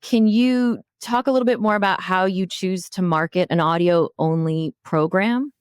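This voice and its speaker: A woman's voice: lowish voice, normal speed, clear, resonant tone, no echo, clean studio sound. A woman hosting a podcast in a casual, conversational interview style.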